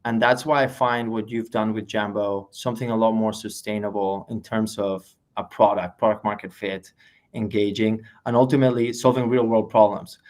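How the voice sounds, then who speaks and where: A man's voice: fairly low pitch, flat delivery, moderate pace, darkish tone. Upbeat male podcast host. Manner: upbeat, praising, with fillers "you know". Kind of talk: interview hosting. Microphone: remote call.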